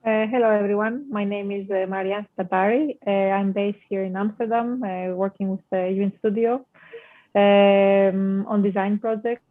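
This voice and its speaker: A woman from Greece speaks as a panel guest over a video call, working through her answers with many pauses and fillers. Her voice sounds narrow and tinny, as if over a phone line. Her pitch sits in the middle range. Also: steady tone. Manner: thoughtful, hesitant with fillers. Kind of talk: online panel discussion.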